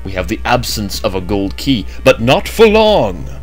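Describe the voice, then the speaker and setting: A man's voice: fairly low pitch, wildly swooping pitch, normal speed, faint music behind. A man narrating a game walkthrough in a chatty way with jokey asides, just woken up. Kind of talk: casual gameplay commentary.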